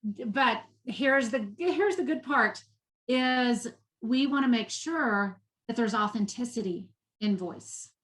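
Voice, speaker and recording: A woman's voice: on the high side, slow pace, fairly bright tone. Passionate Texan woman. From Texas. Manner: passionate, emphatic, repeats for emphasis. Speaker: guest, nonprofit education executive. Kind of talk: interview.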